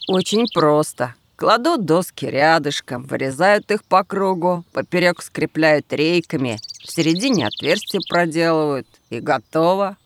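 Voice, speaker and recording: Creaky-voiced young village craftsman. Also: a little high, lively intonation, measured pace, few pauses, a bit bright. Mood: matter-of-fact. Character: young village craftsman, obedient matter-of-fact son. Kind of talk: audio drama dialogue. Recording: faint noise, birdsong behind. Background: birdsong, outdoor village sounds.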